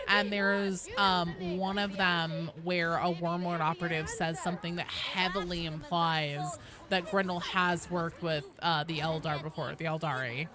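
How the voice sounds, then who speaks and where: A woman livestreaming a game, chatting casually and discursively about it, with frequent swearing. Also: fairly low pitch. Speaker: game streamer. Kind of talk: casual livestream gaming chat.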